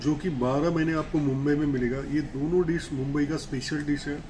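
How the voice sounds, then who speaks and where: Chatty male food vlogger, slightly high voice. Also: steady tone, fairly quick, dark tone. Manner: chatty, savoring food with little exclamations. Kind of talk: casual food review vlog. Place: restaurant breakfast buffet. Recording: restaurant background noise. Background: restaurant ambience.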